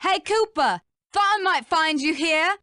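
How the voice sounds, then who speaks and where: Cocky flirty villainess for a cartoon game, high bright voice, mocking. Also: female voice, a little slow, no echo, full character voice. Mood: teasing. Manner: teasing, mocking. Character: taunting, flirtatious, cocky female animal villain. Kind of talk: cartoon video game voice acting.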